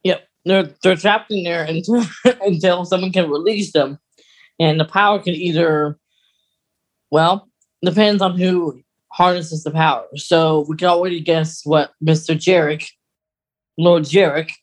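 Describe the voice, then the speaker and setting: A podcast co-host in casual fan chat, geeky and halting, getting animated when amused. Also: mid-range voice. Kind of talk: casual fan chat about film lore.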